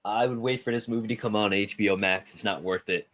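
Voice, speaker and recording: Deep voiced guy rating films, blunt, kinda dismissive, casual. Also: fairly even intonation, quick pace, fairly bright tone. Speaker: movie show panelist.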